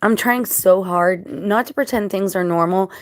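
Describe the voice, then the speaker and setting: A woman with a scratchy, dry-mouthed voice after crying, talking casually to viewers in a halting, reflective, earnest way. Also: mid-range voice, no room sound. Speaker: vlogger.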